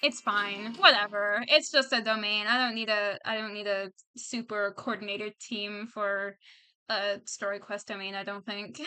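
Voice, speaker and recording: A woman's voice: medium pitch, fairly even intonation, measured pace, sharp tone, clear, resonant tone. A woman streamer giving casual, chatty commentary on a game, thinking aloud as she plays.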